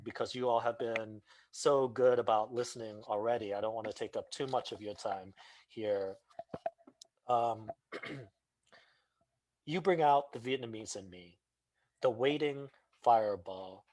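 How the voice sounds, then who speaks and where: A man's voice: lowish voice, no echo. A man, a poet, talking loosely and hesitantly about his poem, then reading a few lines of it aloud. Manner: rambling, hesitant, self-deprecating. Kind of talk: casual talk and poetry reading.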